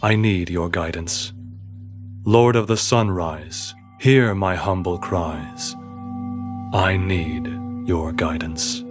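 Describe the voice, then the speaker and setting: Male narrator as witch high priestess, fantasy audiobook, stern, commanding, slow incantation, faint music behind. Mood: solemn prayer. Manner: solemn, incantatory, drawn-out.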